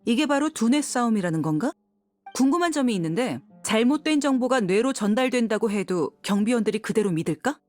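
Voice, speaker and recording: A woman's voice: on the high side, expressive, a little fast, darkish tone, close to an everyday voice. A woman playing an easygoing, confident female fighter in a video game, talking casually and frankly. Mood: impressed, curious. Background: background music.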